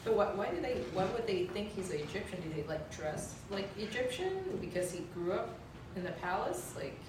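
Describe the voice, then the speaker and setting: A woman's voice: medium pitch, lively intonation, normal speed. Female class member, faint and far off, hesitant questions, echoey noisy room. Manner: curious, asks questions, hesitant.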